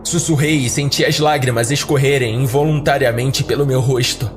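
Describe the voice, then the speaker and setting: Um homem com sotaque brasileiro narrando uma história de terror em primeira pessoa, de modo pausado e dramático. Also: male voice, medium pitch, fairly even intonation, music in the background. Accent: Brazilian. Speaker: horror podcast narrator. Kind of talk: scripted first-person horror narration.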